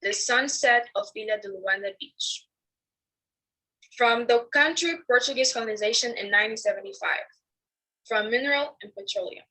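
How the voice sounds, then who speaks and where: Bright-voiced woman from Angola reading poetry. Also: on the high side, normal speed, rough recording. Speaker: student poet.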